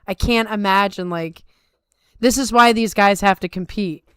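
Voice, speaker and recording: A woman's voice: medium pitch, a little slow, clean tone, dry recording. A middle-aged woman co-hosting a sports talk show, giving casual, emphatic and colloquial commentary. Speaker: sumo talk show co-host. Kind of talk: casual chat with opinionated commentary.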